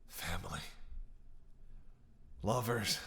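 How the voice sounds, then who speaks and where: Man acting a slow, low-voiced starving vampire. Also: creaky, sharp tone, full character voice. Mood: bitter, intense. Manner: halting, wry, intimate address to listener. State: weak and faint from starvation. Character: starving captive male vampire, teasing, bitter, desperate. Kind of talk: dramatic audio roleplay monologue.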